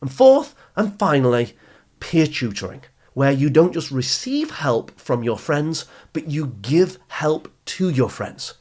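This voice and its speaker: Husky-voiced man giving motivational advice. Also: fairly high pitch, big pitch swings, darkish tone. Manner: emphatic, deliberate teaching delivery with stressed key phrases. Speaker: podcast host and youth coach. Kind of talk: motivational advice talk to teenagers.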